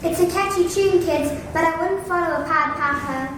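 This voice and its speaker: A woman's voice: very high-pitched, moderate pace. A woman playing a plucky, wry peddler heroine in an amateur readers theatre story. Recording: very echoey room, distant mic, noisy.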